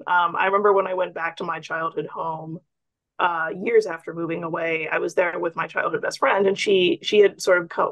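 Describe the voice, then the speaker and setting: A woman who grew up in Indonesia, telling a story in a relaxed, matter-of-fact way during a casual roundtable chat. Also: lowish voice, poor audio. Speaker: podcast guest, horror writer.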